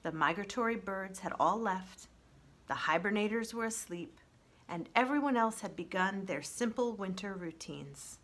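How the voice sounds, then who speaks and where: Lady reading a kids chapter book out loud, bright voice, gentle and measured, soft phrase endings. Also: medium pitch, lively intonation. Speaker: children's librarian reading aloud. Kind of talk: expressive storybook narration for children.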